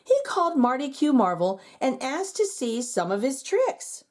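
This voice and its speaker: A woman reads a children's picture book aloud with an expressive storyteller's delivery. Her voice sits high and swoops up and down with lively energy. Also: measured pace. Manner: expressive and animated.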